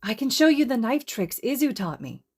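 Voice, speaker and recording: A woman's voice: on the high side, very animated, normal speed, husky, voice pushed up, full character voice. A woman voicing a five-year-old girl who is pouty, curious and innocent in an audiobook-style story. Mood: curious, eager.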